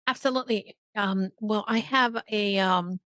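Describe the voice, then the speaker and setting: A woman's voice: medium pitch, a little slow, a bit bright, no room sound, studio quality. A woman from El Salvador who later moved to Miami, telling personal stories conversationally as a podcast guest and often checking for agreement. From El Salvador until age ten, then Miami. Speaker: podcast guest, business coach.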